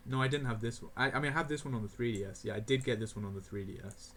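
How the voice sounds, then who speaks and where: An eighteen-year-old man rambling casually through a game tier list, laughing often and filling pauses with ums and uhs. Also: medium pitch, fairly bright tone, slight background noise. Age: young adult (18). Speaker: gaming video creator.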